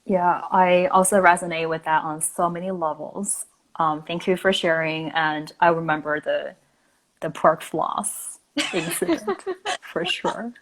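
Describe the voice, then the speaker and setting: A woman's voice: fairly low pitch, expressive, a little slow, low-quality recording. Upbeat, encouraging woman moderator. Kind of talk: casual group chat.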